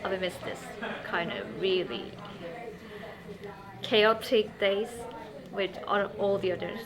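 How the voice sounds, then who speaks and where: A woman's voice: mid-range voice, lively intonation, slow pace. A woman, a journalism student, answering an interview question hesitantly and thoughtfully. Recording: crowd chatter behind.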